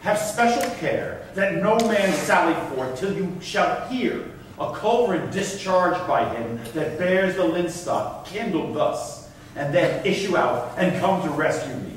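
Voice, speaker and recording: A man's voice: high-pitched, expressive, measured pace. Guy playing a bossy determined governor declaiming old verse, recorded from far off, faint noise. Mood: resolute, commanding. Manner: commanding, declamatory. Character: commanding, resolute male governor addressing countrymen. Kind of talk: staged reading of Elizabethan verse drama. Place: large indoor theatre stage. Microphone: far camera. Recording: distant echoey theatre stage, faint noise.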